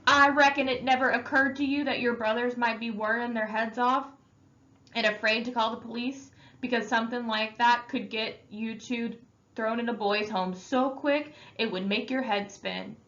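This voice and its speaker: A stern older brother, voiced by a woman reading aloud, shouts and scolds in high, strained bursts. His temper runs harsh and short. The sound is a little muffled. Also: steady tone, moderate pace, lightly acted. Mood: furious.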